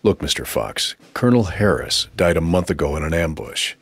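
An actor playing a skeptical military interrogator in a video game, husky and dryly sarcastic. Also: male voice, extremely low pitch, very animated, normal speed, no echo, full character voice.

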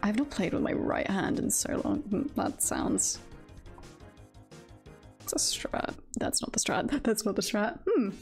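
A woman's voice: medium pitch, expressive, measured pace, darkish tone. A woman livestreamer giving casual, jokey gameplay commentary, repeating short phrases and swearing now and then. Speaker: livestreamer, VTuber. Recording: game music behind the voice. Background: video game music.

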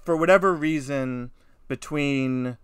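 A man co-hosting a podcast, chatting casually in animated, slangy talk. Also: medium pitch, slow pace, smooth, dry recording, studio quality. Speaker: podcast co-host. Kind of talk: casual movie discussion podcast.

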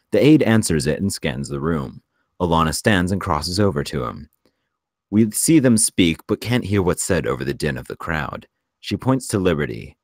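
A man reading screenplay stage directions as narrator, in a measured, descriptive way. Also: a bass voice, dry recording, studio quality.

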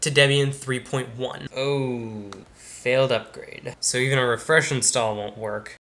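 A man's voice: mid-range voice, fairly even intonation, measured pace, a bit bright. Young man narrating a computer walkthrough. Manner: casual, step-by-step, talks through each click. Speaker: tech channel host. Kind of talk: screen-recorded tutorial narration. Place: bedroom studio with computer screens behind.

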